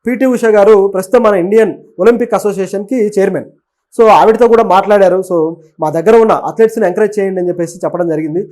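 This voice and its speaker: A man presents sports and political news in a conversational way. His voice sits high and he talks quickly. He explains as he goes, gives his opinions and drops in English words. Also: a little mellow. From Telugu states of southern India. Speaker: web news presenter and commentator.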